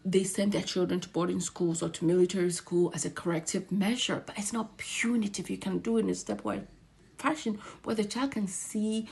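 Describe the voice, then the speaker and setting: A woman with a gravelly, fry-tinged voice at a middle pitch. She speaks as a provider giving casual, earnest advice. She repeats her points and slips into half-whispered asides. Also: fairly even intonation, brisk, darkish tone. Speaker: mental health provider.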